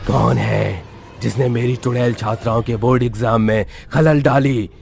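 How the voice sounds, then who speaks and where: A man's voice: medium pitch, steady tone, normal speed, creaky, a little mellow, slight background noise, lightly acted. A man voicing a cruel, bullying ghost of a male teacher in a horror story, threatening and commanding. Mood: menacing. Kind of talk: dramatic horror story character acting.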